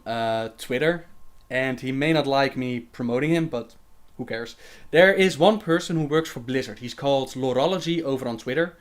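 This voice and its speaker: A young man hosting a casual livestream Q&A, reading viewer questions aloud and answering them chattily with fillers and laughs. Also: medium pitch.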